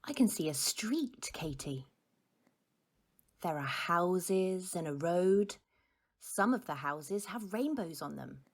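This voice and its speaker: A woman playing a patient mother in an audio drama, gently and hesitantly explaining things to her child. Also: on the low side, animated, slow pace, heavily acted.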